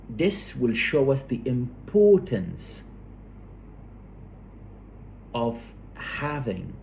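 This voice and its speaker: A male lecturer explains academic material at a very unhurried pace. His voice is mid-pitched and a little mellow, and he hesitates, repeats words and checks understanding as he goes. A faint noise sits behind him. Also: poor audio.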